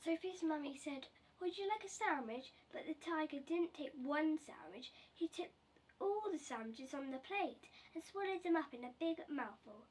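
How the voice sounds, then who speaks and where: A woman's voice: high voice, normal speed, few pauses, a little mellow. A woman reading a children's picture book aloud, recorded from a distance. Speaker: storybook reader. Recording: distant mic with faint background noise.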